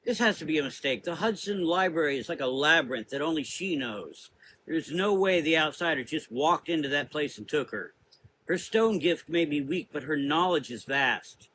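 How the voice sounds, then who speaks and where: A young woman fighter, as a male narrator plays her, loyal, defiant and blunt, in a gothic audio story. The voice is fairly high and forceful, with informal, earnest phrasing. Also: expressive, normal speed, a little hiss or hum, rough recording. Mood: disbelieving.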